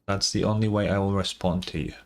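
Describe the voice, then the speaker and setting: A man's voice: sits low, steady tone, fast, creaky. A man doing casual gaming livestream commentary in a sarcastic, mock-exasperated way, swearing freely. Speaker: streamer.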